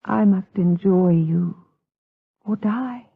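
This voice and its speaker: Woman acting a breathy, slow-speaking gothic seductress. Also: mid-range voice, no room sound, exaggerated voice. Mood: impassioned pleading. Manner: melodramatic, slow, intense, formal archaic diction. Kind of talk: gothic radio drama acting. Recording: lo-fi, tinny 1980s audio drama recording.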